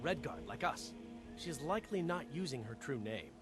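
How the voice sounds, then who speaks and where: A guarded warrior on the hunt in a fantasy game, voiced by a man. He is curt and stern, speaking over clearly audible music. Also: medium pitch, very animated, moderate pace, natural voice. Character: curt, guarded Redguard warrior hunting a fugitive. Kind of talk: fantasy video game voice acting.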